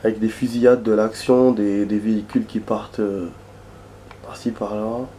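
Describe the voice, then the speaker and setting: A man commenting on a video game while hoarse from a cold, rambling chattily and swearing in surprise at the action. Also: on the low side, darkish tone, low-quality recording. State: hoarse from a cold, losing his voice. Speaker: gaming video host. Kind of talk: casual let's-play commentary. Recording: background noise and game sounds.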